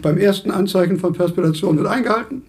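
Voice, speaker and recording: A man playing a pedantic, dryly philosophical thinker in a German radio play, with formal, measured, scholarly diction. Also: sits high, slightly rough. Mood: brisk, courteous.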